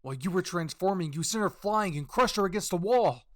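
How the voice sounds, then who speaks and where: A man voicing an angry, blunt young male soldier in a story, accusing and sharp-tongued. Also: high voice, expressive, a little fast, pitched above the performer's own voice.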